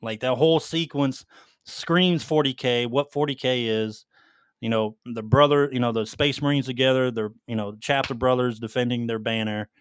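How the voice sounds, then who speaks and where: A man's voice: mid-range voice, fairly even intonation, moderate pace, smooth, no room sound. Casual man podcasting, dry close sound. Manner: profane, slangy, enthusiastic. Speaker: podcast co-host. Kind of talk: casual chat about video games.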